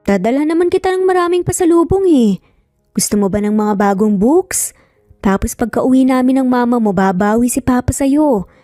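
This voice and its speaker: A woman voicing a calm, affectionate but firm male boss and father in a dramatised story. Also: a little high, normal speed, no room sound, clean studio sound, soft background music, lightly acted. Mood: fatherly, gentle.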